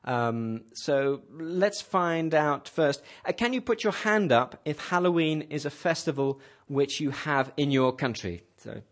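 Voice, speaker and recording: A man's voice: on the high side, lively intonation, measured pace, hardly any pauses. Patient man leading a class. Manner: patient, repeats students' answers back. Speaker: host leading an English class. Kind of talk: radio English lesson with a class.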